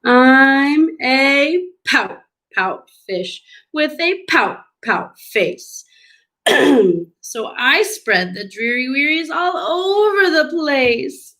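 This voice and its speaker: Woman voicing a mopey, singsong storybook fish, very slow. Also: sits high, animated, bright, forceful tone, voice pushed up, exaggerated voice. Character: gloomy, resigned male pout-pout fish in a storybook.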